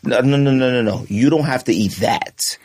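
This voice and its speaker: A man in his thirties co-hosting a casual podcast. He argues his point in an animated way, full of slang and quick asides. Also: mid-range voice, breathy edge. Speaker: podcast co-host. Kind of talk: casual chat.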